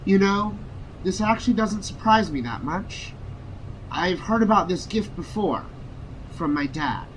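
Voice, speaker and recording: An actor playing a grieving young former combat medic in an audio drama, speaking in an emotional, confiding way. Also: male voice, sits high, lively intonation, unhurried, slight background noise, low-quality recording. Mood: subdued.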